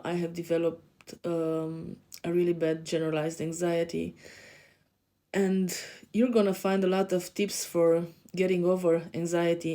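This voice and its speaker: Romanian-accented woman, soft and mellow. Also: fairly low pitch. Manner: hesitant, many fillers and restarts. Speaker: podcast host and life coach. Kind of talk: personal introduction to camera. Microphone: facing camera.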